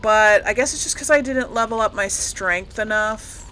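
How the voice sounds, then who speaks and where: A woman's voice: medium pitch, normal speed, sharp tone, a little hiss or hum, poor audio. A woman giving casual, rambling commentary as she plays a game, often correcting herself and lightly amused. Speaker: gaming host.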